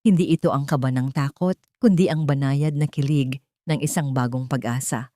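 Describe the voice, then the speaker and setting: A woman with a Filipino accent narrating a sentimental story in a measured, emotive, scripted storytelling manner. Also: a bass voice, warm tone, no echo.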